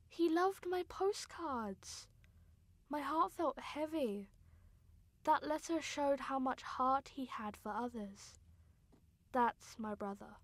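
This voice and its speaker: A woman playing a grieving young sister, narrating a radio drama in an earnest, sombre, deliberate way. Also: a little high, no room sound. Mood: heavy-hearted, urgent.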